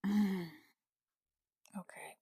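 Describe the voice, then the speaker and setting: A woman at a tabletop game talks in a casual, informal way, often halting and repeating herself. Her mid-pitched voice is recorded dry, with no room sound. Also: steady tone, creaky, dark tone, slightly rough, no room sound. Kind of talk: casual in-character and table chat.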